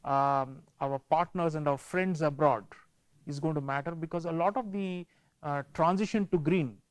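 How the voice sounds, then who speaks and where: A man hosting a panel discussion, speaking in a measured way with frequent pauses and turning to address his viewers. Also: on the high side, no room sound, poor audio. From India. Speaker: show host.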